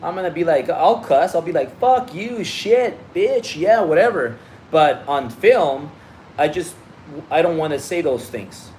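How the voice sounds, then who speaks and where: A man's voice: on the high side, expressive, a little slow, darkish tone, slight background noise. A man from Mexico whose first language is Spanish, speaking with a Mexican Spanish accent in casual, blunt livestream talk as a business coach, swearing and repeating himself freely. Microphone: phone on a live stream.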